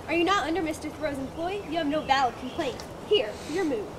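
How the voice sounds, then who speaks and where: A woman reading the part of a confident male philosopher and mentor in an amateur dramatic reading, brisk and teasing in debate. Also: high-pitched, lively intonation. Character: confident man, a philosopher and mentor, amused and argumentative. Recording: loud outdoor traffic noise behind. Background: traffic noise.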